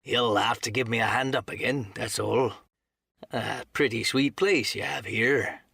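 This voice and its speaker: A man's voice: mid-range voice, steady tone, unhurried, vocal fry, sharp tone, husky, no echo, studio quality, heavily acted. A man voicing a sly, cheery, folksy pirate captain in an audiobook, with a colloquial, wheedling manner. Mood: resigned. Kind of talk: audiobook character acting.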